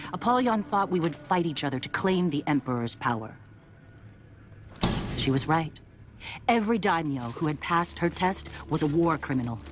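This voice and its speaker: An actress playing a proud, determined female samurai lord, narrating a game story in a solemn, measured way. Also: sits low. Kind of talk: dramatic scripted game narration. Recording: loud music behind.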